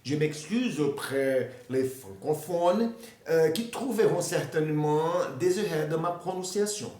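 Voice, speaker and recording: A man from Brazil with a Brazilian Portuguese accent, explaining plants in a learned language, halting with many hesitations and restarts. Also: on the high side, roomy sound. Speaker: botany presenter. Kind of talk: educational talk in a learned language.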